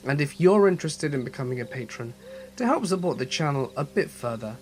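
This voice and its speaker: A man narrating horror stories as a channel host, reading in a measured, steady way with a voice slightly off from a cold. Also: fairly high pitch. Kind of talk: scripted horror story narration.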